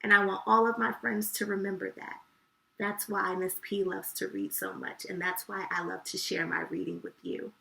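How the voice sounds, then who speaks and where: Female children's host: high, creaky-edged voice, effusive and encouraging, speaking directly to kids. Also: a bit bright. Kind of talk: chatty address to children. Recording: echoey room, distant microphone.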